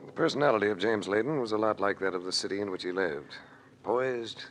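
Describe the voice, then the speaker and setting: An actor playing a wry, hard-boiled investigator in a 1940s radio adventure. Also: male voice, fairly low pitch, a little fast, faint music behind, close to an everyday voice. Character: wry, cool male adventurer-investigator narrating his case. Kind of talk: 1940s radio acting, first-person narration. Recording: noisy old 1940s radio recording.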